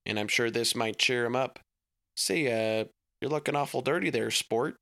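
A man's voice: mid-range voice, moderate pace, sharp tone, dry recording, studio quality. A man voicing a folksy, overly sweet small-framed father who talks in homespun phrases. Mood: cheery folksy.

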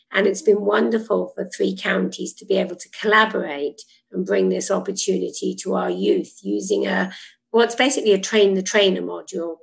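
A woman program leader giving earnest, measured answers in an interview. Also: mid-range voice, creaky, low-quality recording.

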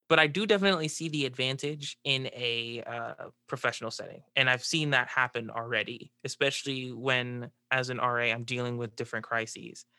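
A man's voice: mid-range voice, sharp tone, clear, resonant tone, no echo, clean studio sound. A young man from the southern United States speaks thoughtfully in a casual discussion, reflecting on his experiences and sometimes searching for words as a student panelist. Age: young adult.

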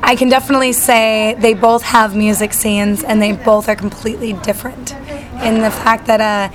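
A woman's voice: a little high, steady tone, normal speed, crowd noise, poor audio. A young woman singer-songwriter from Salt Lake City chatting casually in an interview, bubbly and full of fillers. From Salt Lake City, Utah.

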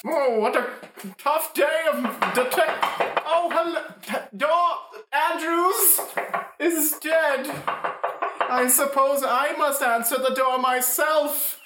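A woman's voice: high voice, takes it slowly, background noise. A woman playing a bumbling, flustered detective in an improvised comedic radio play, hesitant and awkward. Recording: echoey room recording.

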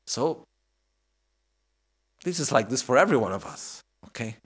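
A man's voice: mid-range voice, lively intonation, normal speed, a bit bright, slightly rough. A man in his thirties giving a Buddhist dharma talk in non-native English, reflective and often checking in with "okay?". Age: 30s. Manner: reflective, repeats questions to the audience with "okay?" and "no?". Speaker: Buddhist teacher.

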